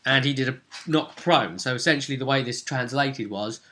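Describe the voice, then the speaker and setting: A man speaking as game master, casually recapping a tabletop role-playing session in an animated, explanatory way. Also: mid-range voice, moderate pace, a little hiss or hum.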